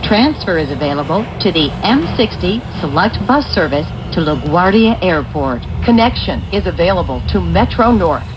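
An American woman reads scripted transit announcements in a measured, formal, segmented way. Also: very animated. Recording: dull, noisy recording aboard subway train. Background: train rumble and vehicle noise.

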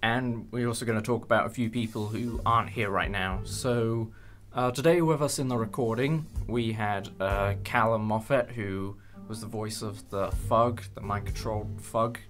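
A man's voice: fairly low pitch, moderate pace, hardly any pauses, fairly bright tone, faint music behind. A young man hosting a casual behind-the-scenes chat about a production, speaking in a hesitant, appreciative way. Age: young adult. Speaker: host and audio drama producer.

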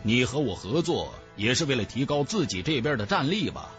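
Guy reading young hero, sharp and frank, probing, animated, fantasy audiobook. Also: medium pitch, a little fast, soft background music, voice pushed down, lightly acted.

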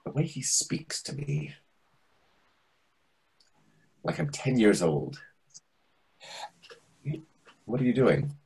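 A man's voice: mid-range voice, sing-song, takes it slowly, creaky, a little mellow, husky, exaggerated voice, low-quality recording. A man in his thirties playing a dramatic, flustered, camp waiter in a play reading over a video call, indignant and arch. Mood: reproachful, indignant. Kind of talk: readers theatre play reading.

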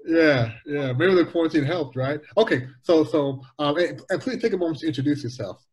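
A man's voice: on the high side, a little fast, darkish tone. A man coaching and interviewing a guest over a video call from a room at home, upbeat and prompting with questions. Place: home room with pictures on wall.

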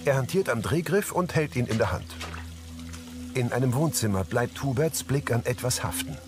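A man's voice: medium pitch, lively intonation, moderate pace, music in the background. A man reading scripted audio description narration for a drama.

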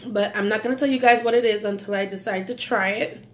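A woman's voice: medium pitch, steady tone, fast talker, slight background noise. A woman in her thirties from Belize with a slight Belizean accent, chatting casually in an eating vlog at home, savoring food with frequent mm's. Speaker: mukbang vlogger. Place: small indoor room at home.

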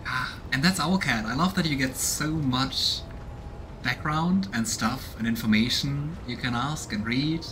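Guy streaming a game, musing and reading stuff out, rumbly noise behind him. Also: measured pace. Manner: musing, curious. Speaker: let's play streamer. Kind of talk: casual game commentary and reading aloud. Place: indoors, small room at home.

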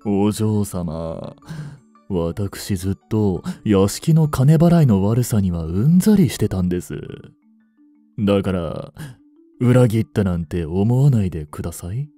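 Man acting a low, silky, treacherous butler. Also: expressive, soft background music. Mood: softly menacing. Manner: polite, softly menacing, unhurried. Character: suave, greedy young male butler turned possessive betrayer. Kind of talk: Japanese romance audio drama acting.

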